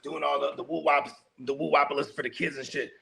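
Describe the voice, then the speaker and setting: A man's voice: a little high, level tone, fast, gravelly, pushed and bright, a little hiss or hum. A man co-hosting a casual podcast, rambling with heavy slang, fillers and frequent laughter. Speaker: podcast co-host.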